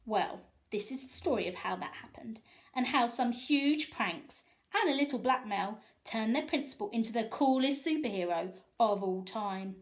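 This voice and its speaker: A woman with a fairly high, very lively voice reads a story to young children. She is expressive and chatty, turning to her listeners with questions. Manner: animated, asks listeners questions.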